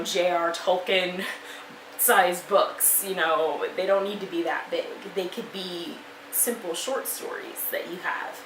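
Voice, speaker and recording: A woman in her twenties giving encouraging advice in a chatty vlog style, with plenty of fillers. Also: on the low side. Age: 20s. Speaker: vlogger and life coach. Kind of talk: motivational talk to camera. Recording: echoey room with noise.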